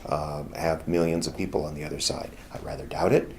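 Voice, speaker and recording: A man's voice: rumbling low, flat delivery, brisk, a little mellow, a little hiss or hum. A middle-aged American man explaining things thoughtfully and conversationally in a casual promotional interview. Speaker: radio theater founder and organizer.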